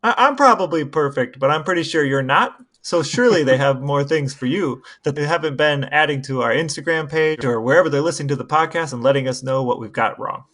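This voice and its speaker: Higher-voiced male podcast co-host, casual. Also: brisk, few pauses, fairly bright tone. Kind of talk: casual chat.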